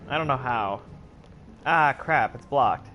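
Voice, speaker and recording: A man's voice: mid-range voice, expressive, takes it slowly, pushed and bright, soft background music. A man streaming a game, thinking aloud in a sarcastic, puzzled way. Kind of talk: casual let's-play commentary.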